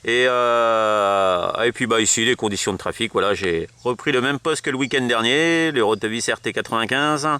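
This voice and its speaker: A man's voice: medium pitch, normal speed, few pauses, sharp tone, smooth, dry recording. A man from France with a French accent, chatting casually and hesitantly as a radio operator presenting his gear, often repeating himself. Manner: hesitant, repetitive. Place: outdoors at a roadside.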